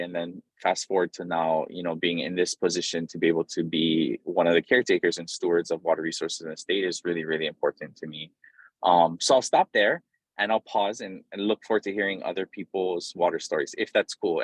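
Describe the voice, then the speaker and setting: A man from Hawaii speaking as a guest on a video call from an airport, loose and informal with many pauses. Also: deep voice, dry recording, poor audio. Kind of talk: casual talk on a video call. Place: airport, in transit.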